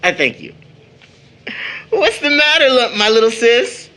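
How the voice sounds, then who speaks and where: A man's voice: extremely high pitch, very animated, measured pace, pushed and bright, exaggerated voice. A man playing a cheerful, bantering older brother, a young man given to playful mock-grand speech, in an audio drama. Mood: playful, mock-formal. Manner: playful, florid mock formality.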